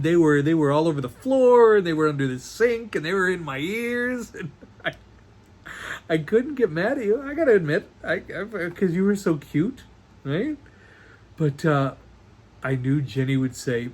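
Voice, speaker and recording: A man playing a doting middle-aged father in a stage monologue over a video call, earnest and halting, stammering as he rambles to his daughter. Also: a little high. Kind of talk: one-act play monologue performed on video call. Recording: noisy video call audio.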